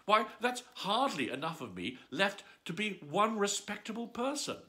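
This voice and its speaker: A man voicing a curious, fretful little girl in a storybook reading, raising his voice into a high, fluttery girlish tone. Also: sing-song, moderate pace, sharp tone, full character voice.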